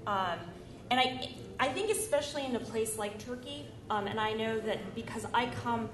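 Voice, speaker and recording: A woman moderates a conference panel, engaged and thoughtful as she works through her questions. Her voice is fairly high, and the room is echoey, with an audience clearly heard in the background. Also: lively intonation, moderate pace, crowd noise. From United States. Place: conference stage in a large hall. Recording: echoey hall with audience noise.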